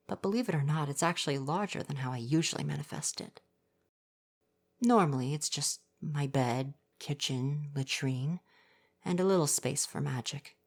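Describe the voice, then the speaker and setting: Man playing a forest spirit, male, soft-voiced, gentle, coy, reassuring, slightly formal wording, ASMR roleplay. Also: a little high. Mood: caring, welcoming.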